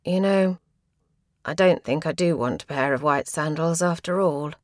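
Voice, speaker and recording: A woman's voice: sits low, moderate pace, natural voice. A woman voicing a conservative, hesitant female school friend in a storybook reading.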